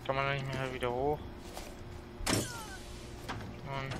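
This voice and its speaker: Guy gaming w friends, casual chat, normal pitch, super noisy audio. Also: completely flat delivery, slow. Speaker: gamer.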